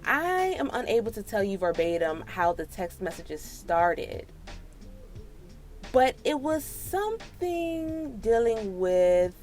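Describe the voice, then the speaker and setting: An American woman in her late twenties tells a personal story in a casual, slangy way. Her delivery is animated and sassy, at a middling pitch, with faint music behind her. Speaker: storytime vlogger.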